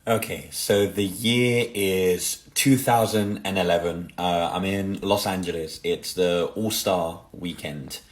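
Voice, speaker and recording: Slow, laid-back man. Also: low voice. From London. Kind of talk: casual storytelling to camera.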